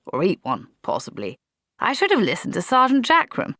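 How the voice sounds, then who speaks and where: A flustered young lieutenant frets in a high, strained voice as a woman reads him aloud. He is polite and fussy, nervous under pressure. The storybook reading is close and dry. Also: wildly swooping pitch, normal speed, a bit bright, no room sound. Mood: fretful. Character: flustered young male army lieutenant, gentle.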